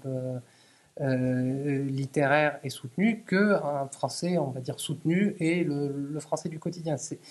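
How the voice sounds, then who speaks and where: Hesitant man. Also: fairly high pitch. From Tunisia. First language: Tunisian Arabic. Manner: hesitant, many restarts and fillers. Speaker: essayist answering audience questions. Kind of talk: conference talk.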